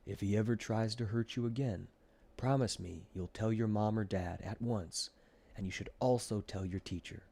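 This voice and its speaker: A man plays an old grandfather in a children's storybook. The voice sits low and is measured and calm. He is wise and patient, guiding with gentle questions. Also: gravelly. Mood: calm, instructive. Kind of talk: children's audiobook character voice.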